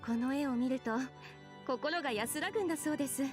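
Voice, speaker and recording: Woman playing a gentle, quick-spoken young nun. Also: sits high, soft background music, natural voice. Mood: gentle, polite. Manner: polite, gentle, softly formal. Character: polite, gentle young nun in a visual novel. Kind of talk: Japanese visual novel voice acting.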